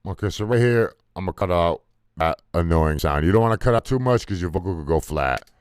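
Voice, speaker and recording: A man with a deep voice, talking loosely through a music mixing tutorial with fillers and casual swearing. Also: animated, a little fast, smooth, no echo. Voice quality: deep voice, muffled by a faulty mic input. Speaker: music producer teaching mixing. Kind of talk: casual screen-recorded tutorial. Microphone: desktop mic into recording software.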